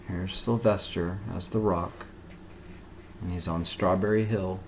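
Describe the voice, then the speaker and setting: A man reading a picture book aloud to young children as a teacher, pausing for simple explanatory asides. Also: low voice, fairly even intonation, a little hiss or hum, poor audio.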